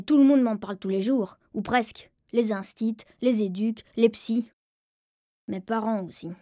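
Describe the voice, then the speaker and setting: Femme jouant un ado gouailleur, voix sèche. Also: female voice, mid-range voice, dark tone, no echo, natural voice. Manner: colloquial, matter-of-fact, childlike. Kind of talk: intimate first-person radio drama narration. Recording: music faintly behind a dry studio voice.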